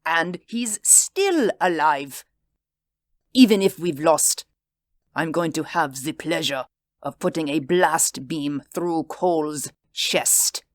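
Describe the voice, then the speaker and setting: A man's voice: fairly high pitch, slow, dry recording, pitched above the performer's own voice. A man voicing a shaken, bitter male official who talks in a trembling, strained way. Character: shaken, furious male official, desperate and vengeful.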